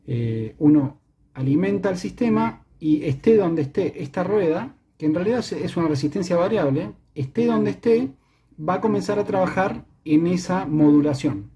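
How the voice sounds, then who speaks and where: A man's voice: expressive, moderate pace, mellow. A man with an Argentine Spanish accent giving a methodical hands-on tutorial, repeating and rephrasing as he goes, his voice run through a voice changer. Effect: live voice changer, shifting in pitch and robotic tube-like tone. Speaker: electronics maker giving a demonstration.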